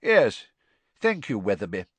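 A man's voice: lowish voice, huge pitch swings, unhurried, slightly rough, no room sound, full character voice. Casting: male reader as elderly bureaucrat, upright, impatient, clipped and proper, close dry audiobook sound. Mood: brisk.

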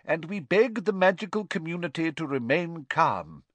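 A pompous middle-aged official, irritable and flustered, voiced by a male narrator lifted well above his usual pitch. He sounds self-important and put upon. Close, dry sound. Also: animated, moderate pace, a bit bright, no room sound.

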